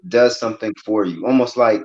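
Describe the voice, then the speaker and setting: A man's voice: fairly low pitch, fairly quick, darkish tone. A man hosting a casual conversation, asking follow-up questions. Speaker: podcast host. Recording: dull lo-fi sound.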